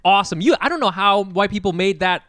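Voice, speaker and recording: A man's voice: high voice, animated, quick pace, a bit bright, no room sound, clean studio sound. A man co-hosting a podcast in casual comedic chat, informal, emphatic and free with swearing. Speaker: podcast co-host.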